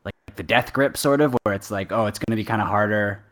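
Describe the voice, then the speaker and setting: A man co-hosting a podcast, chatting casually and jokingly in a halting, rambling, informal way. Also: fairly low pitch, fairly bright tone. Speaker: podcast co-host.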